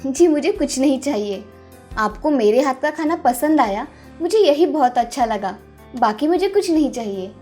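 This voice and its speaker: A woman voicing a sweet, obedient young daughter-in-law in an animated moral story, polite and deferential. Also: high voice, normal speed, faint music behind. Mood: modest.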